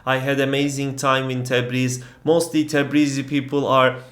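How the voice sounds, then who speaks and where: A man's voice: mid-range voice, little pitch movement, normal speed, fairly bright tone, roomy sound. A young man from Turkey, speaking English with a Turkish accent as a non-native speaker, telling a travel story in a casual vlog with lots of fillers. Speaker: travel vlogger.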